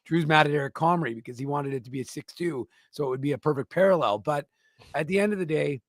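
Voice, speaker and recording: A man's voice: fairly high pitch, fast, dry recording. A man co-hosting a hockey show talks through his opinion in loose, casual speech. He often restarts and repeats words as he builds his point, and he sounds close and dry with no room around him. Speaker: co-host of a hockey talk show. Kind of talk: casual sports talk.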